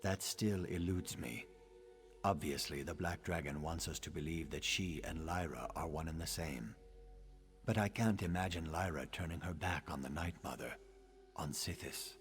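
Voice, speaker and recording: An actor playing a brooding male assassin in a video game, speaking in a grave, deliberate and articulate way. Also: rumbling low, faint music behind. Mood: brooding, intent. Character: brooding, deep-voiced male assassin investigating a betrayal. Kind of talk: dramatic video game acting.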